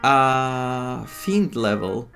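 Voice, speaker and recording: A man's voice: medium pitch, animated, drawn-out words, a bit bright. A man giving casual playthrough commentary, reading game text aloud and reacting to it, with music behind him. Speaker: gameplay streamer reading and reacting. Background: game music with guitar.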